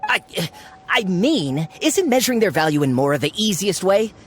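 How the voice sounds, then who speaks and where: A greedy, animated blustering fraud. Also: male voice, sits high, moderate pace, faint music behind. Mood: flustered, defensive. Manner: blustering, stammering excuses. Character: shifty, greedy, boastful male treasure-hunting fake archaeology researcher. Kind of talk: video game voice acting.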